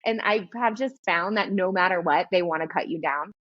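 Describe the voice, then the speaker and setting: Host type: girly-voiced woman, slight lisp, animated chat, a little lo-fi. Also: on the low side, a little fast, no room sound. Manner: animated, laughs while talking. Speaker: podcast host and business coach. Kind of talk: casual interview chat.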